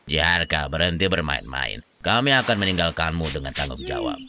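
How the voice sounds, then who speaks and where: A man narrating a children's cartoon story in an animated, expressive way. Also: very deep voice, a little fast, noisy background. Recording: loud music underneath.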